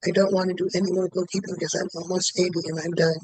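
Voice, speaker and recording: A man in his late seventies speaks in a high, flat voice, close and dry. He repeats the same statement again and again at a brisk, steady clip as a client in a tapping session. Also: breathy edge, dry recording. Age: 70s. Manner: repeats one statement over and over in a quick, even rhythm.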